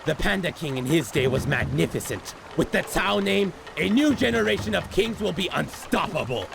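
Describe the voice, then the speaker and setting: A man's voice: on the high side, expressive, normal speed, gravelly, noisy background. A man voicing an arrogant, power-hungry animal warlord in a video game, pompous, menacing and theatrical in his delivery. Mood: imperious. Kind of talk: video game villain acting. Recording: music behind the voice.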